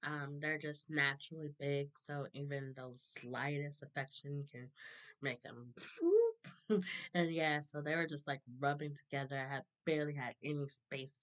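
A woman in her thirties with a hoarse, sore throat, chatting casually to a webcam, laughing often and clearing her throat. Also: low-pitched, fairly even intonation, moderate pace, a bit bright. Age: 30s. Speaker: vlogger. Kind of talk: casual chat to camera. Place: small room indoors.

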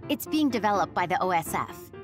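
A woman's voice: on the low side, big pitch swings, brisk. A woman playing a friendly, caring young military operator in an anime game, informal and reassuring. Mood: informative. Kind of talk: English anime-game voice acting. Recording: music behind the voice.

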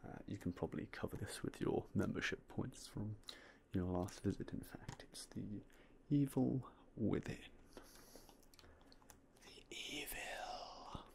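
A young man softly whispering in a polite ASMR roleplay as a game store clerk, close to a binaural mic. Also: lowish voice, slow, vocal fry. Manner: soft, polite, mostly unvoiced whispering.

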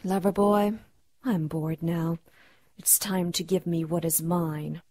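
An ancient demon queen for a radio play. Her voice is husky and recorded close and dry, with honeyed cruelty that hardens into imperious, mocking command. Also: on the low side, fairly even intonation, slow pace, mellow, no room sound. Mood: haughty, mocking. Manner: honeyed coaxing turning to haughty command. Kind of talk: radio drama acting.

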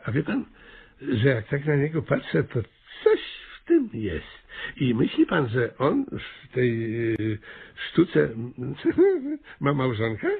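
Flustered, stammering mayor, creaky voice. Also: male voice, mid-range voice, huge pitch swings, slow pace, narrow, tinny audio, heavily acted. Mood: puzzled. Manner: stammering, repeating words in fluster. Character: flustered, hesitant small-town mayor, reluctant and gullible. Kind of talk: Polish radio comedy acting.

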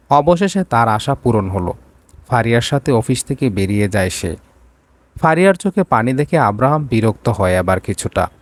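A man's voice: mid-range voice, no echo. A man narrating a scripted romantic drama story.

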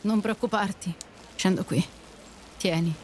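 A woman's voice: mid-range voice, unhurried, background noise, lightly acted. A woman acting a resolute, generous, blunt young lady passenger in an audio drama. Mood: resolute, gentle.

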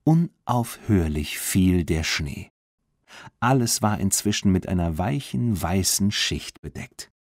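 A man reading a children's audiobook as narrator in an expressive storytelling manner. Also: deep voice, slow pace, no room sound, studio quality.

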